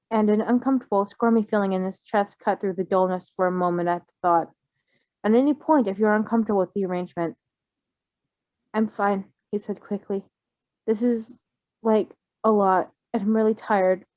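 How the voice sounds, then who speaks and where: A woman's voice: mid-range voice, darkish tone, dry recording, lo-fi sound. A woman reading a fan fiction story aloud, then chatting casually about it and laughing often.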